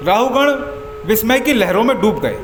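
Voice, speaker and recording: A man narrating a scripted devotional story in solemn, deliberate, formal diction. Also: high voice, sing-song. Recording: echoey voice over music.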